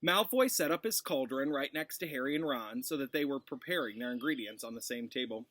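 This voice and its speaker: A man, a teacher, reading a storybook aloud in an expressive narrating style. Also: mid-range voice, brisk, dry recording.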